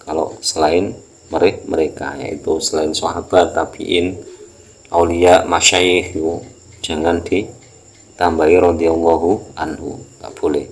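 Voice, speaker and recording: A man with a Javanese accent teaching an Islamic text, reading it out and explaining it in a halting, repetitive way. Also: low voice, level tone. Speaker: religious teacher.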